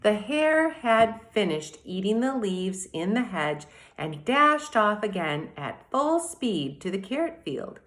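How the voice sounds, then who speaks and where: A woman teacher reading aloud and explaining to young children in a deliberate, expressive way, speaking to them directly. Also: medium pitch. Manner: deliberate, addresses children directly with questions and asides. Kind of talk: expressive children's read-aloud and mini lesson.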